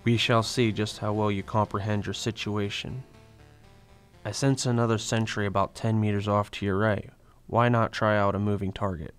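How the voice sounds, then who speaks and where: A man plays a calculating spirit-like inner voice from an audio drama, formal and coolly goading, his voice sitting a bit low. Faint music plays underneath. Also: level tone, normal speed, smooth, lightly acted. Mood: stern warning. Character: cold, calculating male inner-voice companion. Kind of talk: amateur audio drama acting.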